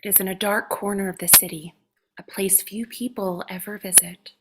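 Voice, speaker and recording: A woman's voice: mid-range voice, fairly even intonation, moderate pace, background noise, natural voice. A woman playing a stern, mysterious guardian of a shapeshifter, solemn and commanding, in a comedy horror reading. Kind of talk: comedic horror script table read.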